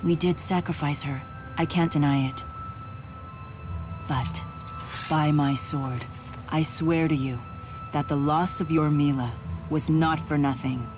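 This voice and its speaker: A dignified spirit swordswoman in a video game. Her voice is notably low, formal and measured, steadfast in tone. Soft music sits behind her. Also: fairly even intonation. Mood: resolute. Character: solemn, noble spirit woman with a sword.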